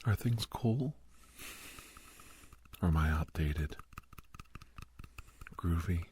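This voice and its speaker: A man playing a gentle, reassuring doctor in a soft-spoken ASMR roleplay, with a husky, breathy voice and halting, hesitant delivery. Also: low voice, expressive, slight background noise, full character voice. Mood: curious, playful. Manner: soothing, hesitant, halting pauses. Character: gentle, reassuring male doctor counseling a nervous young werewolf.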